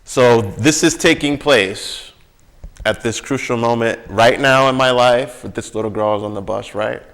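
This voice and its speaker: Slow, gravelly-voiced man talking emphatically. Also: medium pitch, a bit bright. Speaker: school bus monitor sharing experiences. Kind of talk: casual, reflective conversation.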